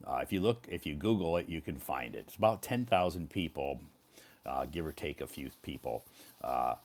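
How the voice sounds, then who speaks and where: A middle-aged man from Iowa, live-streaming a casual chat with English learners, speaking slowly and repeating words clearly. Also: extremely low pitch, expressive, darkish tone. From Iowa, United States. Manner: slow, repetitive, clearly enunciated for learners.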